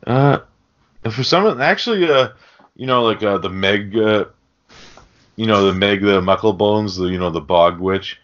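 A man's voice: lowish voice, unhurried, fairly bright tone. A man co-hosting a casual film podcast, swearing freely as he enthusiastically explains things. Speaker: podcast co-host. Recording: dull, lo-fi podcast audio.

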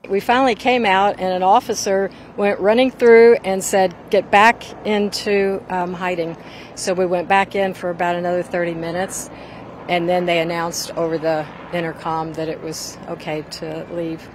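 A woman in her sixties, interviewed as a witness outside, recounts her experience. Her tone stays flat and a little low, with clear outdoor ambience behind her. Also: moderate pace, background noise. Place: outdoors in a parking lot.

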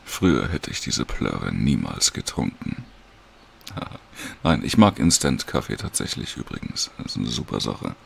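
A man casually and hesitantly talks viewers through a screen recording in an informal, unhurried tutorial. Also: rumbling low, very animated, creaky, a little hiss or hum. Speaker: audiobook creator giving a download tutorial.

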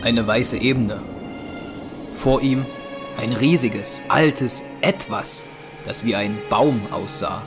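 A man narrating a scripted dramatic story for an audio drama in short, deliberate, measured phrases. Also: mid-range voice. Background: music.

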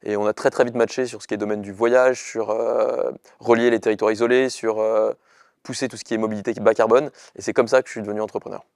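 Fast-talking young man, flat pitch. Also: creaky. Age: young adult. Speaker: startup co-founder and chief executive. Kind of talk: interview testimonial to camera. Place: indoor studio with dark backdrop. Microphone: lapel mic.

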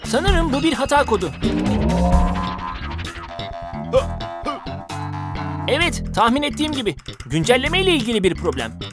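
A lively, fairly high cartoon vehicle character, clever and sensible. It explains things in an articulate way for a kids' animated show, over loud music. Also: female voice, fast talker. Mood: concerned. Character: knowledgeable, sensible toy vehicle friend. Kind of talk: children's cartoon dubbing.

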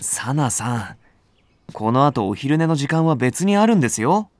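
A man's voice: mid-range voice, sing-song, normal speed, close to an everyday voice. A man voicing an earnest young rookie nursery teacher in a voice drama, polite and enthusiastic. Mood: earnest concern. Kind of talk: Japanese voice drama acting.

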